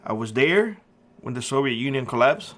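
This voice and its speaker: A man's voice: mid-range voice, big pitch swings, normal speed, a bit bright. A middle-aged man from Cuba hosting a prepper channel, talking earnestly and emphatically in a casual monologue with frequent fillers. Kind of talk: casual opinion monologue to camera.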